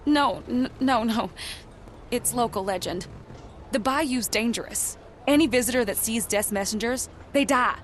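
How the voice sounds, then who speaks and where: Woman as a high-voiced, guarded Southern working girl. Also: unhurried, a little hiss or hum, natural voice. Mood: anxious, sorrowful. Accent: Southern American. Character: guarded, sassy working girl with a soft heart. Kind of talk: video game voice acting.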